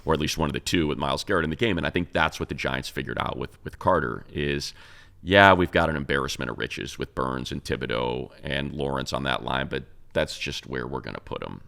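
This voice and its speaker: A man's voice: extremely low pitch, a little fast, vocal fry, bright, forceful tone. A man co-hosting a sports talk show, giving casual, conversational football analysis and thinking aloud as he goes.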